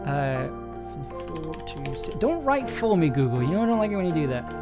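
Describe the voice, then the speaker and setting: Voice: medium pitch, sing-song, a little fast. A streamer thinking aloud casually while writing a story live, in a playful, musing, giggly manner. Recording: music behind the voice. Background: music and computer keyboard typing.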